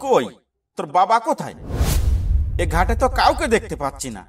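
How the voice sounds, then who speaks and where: A man's voice: high voice, sing-song, brisk, far from the mic, over music. A man voicing a protective, practical village fisherman uncle in a cartoon, speaking in a cautioning way. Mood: practical, concerned. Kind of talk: Bengali cartoon voice acting.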